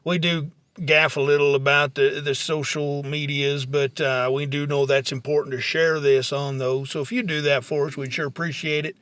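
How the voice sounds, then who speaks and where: A man's voice: fairly high pitch, level tone, moderate pace, few pauses, fairly bright tone, clean tone, no room sound. A man, hosting a comedy podcast in casual, folksy banter with unpolished country grammar.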